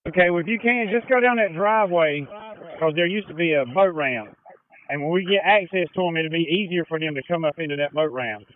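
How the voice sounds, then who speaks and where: A man's voice: a little high, a little fast. An American man giving brief instructions as an incident commander over emergency two-way radio. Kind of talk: emergency radio dispatch traffic. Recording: muffled two-way radio with noise and clicks.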